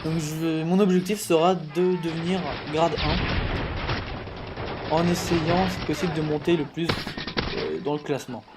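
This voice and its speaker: A teenage guy commentates casually over loud music and game gunfire. His voice sits a little high, and he speaks hesitantly, often tripping over himself and apologizing. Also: unhurried. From Paris, France. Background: loud music, video game gunfire.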